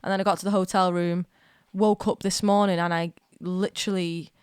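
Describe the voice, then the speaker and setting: A young woman streaming from home, chatting in a rambling, excitable way while getting over a cold with a slight cough. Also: lowish voice, normal speed, smooth, no room sound, clean studio sound. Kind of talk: casual live-stream chat.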